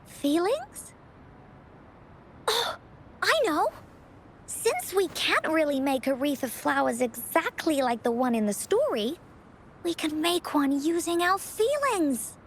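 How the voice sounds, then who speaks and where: A woman playing an excitable, imaginative little girl adventurer in a video game, with a childlike manner. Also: high-pitched, slow pace, long pauses, a little hiss or hum, full character voice. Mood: excited. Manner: childlike, excitable, refers to self in third person. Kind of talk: animated video game character acting.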